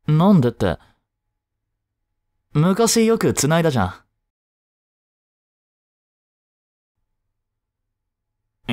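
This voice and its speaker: Caring high-school boy next door , male actor, romantic audio drama; casual, reassuring, slow delivery, dry sound. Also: a little mellow, dry recording. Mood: tender, teasing. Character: dense, easygoing teenage boy, caring childhood friend. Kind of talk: Japanese romantic audio drama addressed to the listener.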